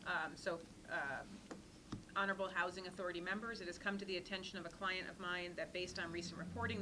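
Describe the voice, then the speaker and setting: A woman serving as board secretary, reading a letter into the record in a brisk, businesslike way. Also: on the low side. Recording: noisy meeting room recording.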